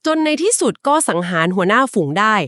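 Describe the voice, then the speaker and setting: A woman's voice: medium pitch, sing-song, fairly bright tone, dry recording. Woman retelling a legend, dry close sound. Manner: explains at length with polite particles and filler pauses. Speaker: podcast co-host. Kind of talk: conversational storytelling of a folk legend.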